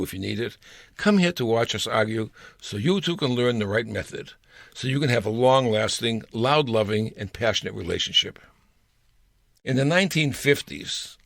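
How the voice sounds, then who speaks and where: A man's voice: medium pitch, gravelly, a bit bright, no room sound, clean studio sound. An older man reading his own humorous personal story aloud, as a scripted piece.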